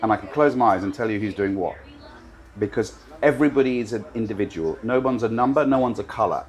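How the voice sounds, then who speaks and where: A man's voice: lowish voice, fast talker. A man in his fifties, raised in Britain, a live TV director answering interview questions confidently, often stacking points in emphatic lists.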